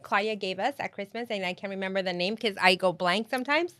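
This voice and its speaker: Woman from Mexico, native Spanish speaker, lively coach who laughs easily, mid-pitched voice, dry sound. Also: quick pace, dry recording. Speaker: guest, business coach. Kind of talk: casual podcast interview.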